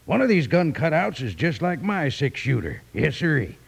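An actor playing a chummy, folksy cowboy pitchman with a gravelly voice in a 1950s children's radio commercial. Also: moderate pace, heavily acted. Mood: enthusiastic. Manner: animated, folksy Western exclamations.

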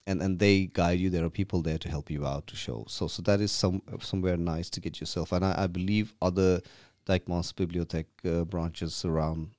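A man's voice: deep voice, steady tone, moderate pace, few pauses, a little mellow, dry recording, clean studio sound. A man from Sri Lanka, a podcast guest explaining things casually in a rented room and often restarting his words.

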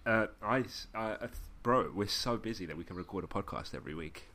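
A man with a very low, deep voice talks casually on a podcast, joking and laughing often. He reads listener messages aloud in a relaxed, slangy way. A faint hiss of background noise sits under him. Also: fairly quick. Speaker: podcast co-host.

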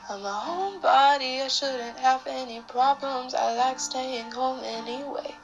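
Young woman, high slow sung vocal, tired and raspy, home demo over guitar. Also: muffled recording. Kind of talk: singing own song with guitar.

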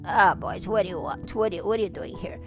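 A woman doing a gruff, weary old magic man. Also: mid-range voice, fast talker, faint music behind, voice pushed down, natural voice. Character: grumbling old male helper who does magic.

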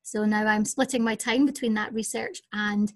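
A woman's voice: mid-range voice, steady tone, moderate pace, no room sound, clean studio sound. A Scottish woman, a lecturer guest in a podcast interview, recounting at length with some fillers, recorded at home. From Scotland. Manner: fluent storytelling with fillers. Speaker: guest, senior lecturer in anatomy and physiology. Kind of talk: interview answers. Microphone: home setup over digital platform.

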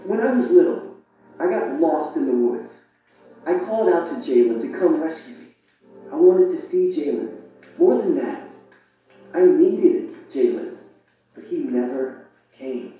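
Defiant young skeptic: very dark tone, scornful, argumentative audio drama role, very echoey distant recording. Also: female voice, low voice, expressive, a little slow, pauses often, muffled recording. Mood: bitter, confiding. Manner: argumentative, mocking. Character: defiant, scornful young unbeliever goading friends. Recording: very echoey playback from far off.

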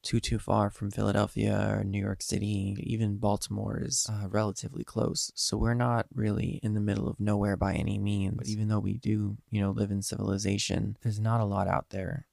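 A man's voice: on the low side, flat delivery, a little fast, gravelly, few pauses, darkish tone, dry recording, clean studio sound. A nineteen-year-old man with a head cold and a slightly nasal voice, hosting a casual solo podcast in an earnest, rambling way with lots of ums. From Eastern Pennsylvania. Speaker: podcast host.

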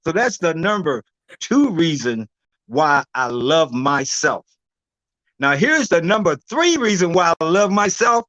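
A man in his sixties, a guest herbalist, telling his story in a loud, emphatic, preacher-like way over a video call. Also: on the high side, sing-song, a little slow, no room sound, muffled recording.

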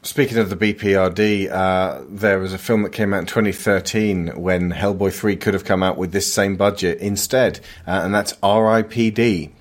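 Deep-voiced man talking movies. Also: fairly even intonation, moderate pace, hardly any pauses. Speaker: podcast host. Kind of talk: casual film discussion.

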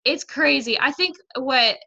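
Woman, bright voice, somewhat high, chatty, lots of fillers, casual talk. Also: a little slow, creaky, dull audio. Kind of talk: casual conversation.

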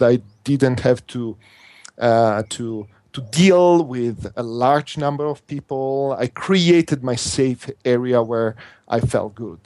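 A man's voice: mid-range voice, darkish tone, dry recording. A man with an Italian accent, a native Italian speaker, talking earnestly as an interview guest, often restarting and repeating words. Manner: earnest, halting, with frequent restarts and repetitions. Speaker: interview guest, workshop instructor.

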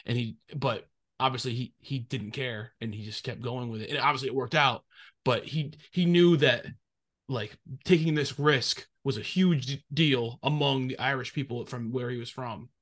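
A man's voice: mid-range voice, normal speed, a bit bright. A man with a New England accent, hosting a casual podcast chat and rambling through his thoughts. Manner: rambling, many fillers and restarts. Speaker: podcast host.

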